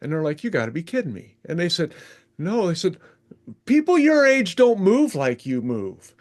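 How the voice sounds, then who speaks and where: A man's voice: sits high, sing-song, moderate pace, a little mellow. A man in his sixties hosting a video call, chatting casually and joking about himself with a self-amused laugh. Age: 60s. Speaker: event host.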